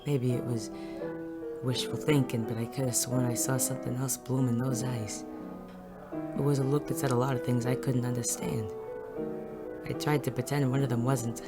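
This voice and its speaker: A woman playing a wry, gruff male police detective in a noir audio drama, with deadpan, sardonic delivery. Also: very deep voice, normal speed, pauses often. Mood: brooding narration. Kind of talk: noir audio drama dialogue and first-person narration. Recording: music behind the voice.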